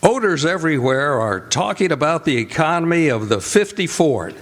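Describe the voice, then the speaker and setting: A man announcing a live radio theatre show in a formal, deliberate old-time broadcast style. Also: fairly high pitch, animated. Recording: live stage with music and audience. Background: music and audience noise.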